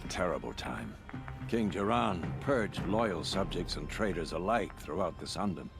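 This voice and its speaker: A pompous older hunter guy, low voice, theatrical storyteller, game drama with music playing. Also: lively intonation, moderate pace, creaky. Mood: solemn, haunted. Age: middle-aged or older. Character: older, self-satisfied veteran hunter, solemn storyteller. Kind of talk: video game dramatic acting.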